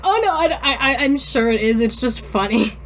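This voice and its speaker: Female podcast co-host, high and giggly, casual, stumbling through dramatic readings with commentary, faint noise behind. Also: expressive. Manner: informal, halting when reading aloud, breaking off to comment.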